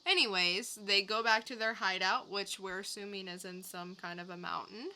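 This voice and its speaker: A woman's voice: medium pitch, fairly even intonation, normal speed, sharp tone. A woman co-hosting a podcast, recapping and reviewing in a casual, conversational way. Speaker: podcast co-host reviewing comics.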